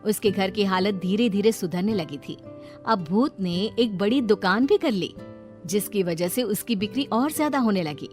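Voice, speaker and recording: A woman's voice: medium pitch, moderate pace, darkish tone, faint music behind. A woman reading a scripted moral bedtime story as the narrator.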